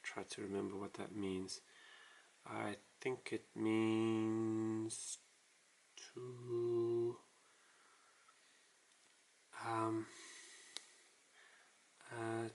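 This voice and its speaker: A man casually walking through a writing tutorial, explaining in a halting, self-deprecating way as he writes. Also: on the low side, level tone, a little hiss or hum. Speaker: language tutorial host.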